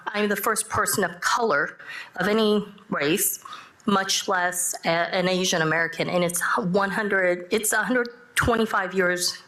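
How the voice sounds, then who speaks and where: A middle-aged woman whose first language is Korean, introducing herself on a panel in deliberate, halting phrases. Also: fairly low pitch, a bit bright. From born in South Korea, raised in the US. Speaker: judge, panelist. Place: panel event room with audible echo. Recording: roomy live event sound.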